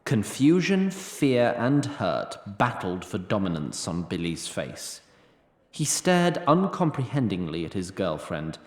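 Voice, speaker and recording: A man reading scripted fantasy narration with a wry, literary, storytelling manner. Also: fairly low pitch. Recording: roomy sound, faint background noise.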